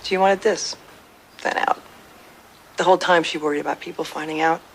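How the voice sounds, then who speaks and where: A woman's voice: low voice, steady tone, normal speed, creaky, slight background noise, rough recording. A woman playing a blunt, defiant retired producer in her fifties in an audio drama, with a sardonic, bitter manner. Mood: bitter, weary.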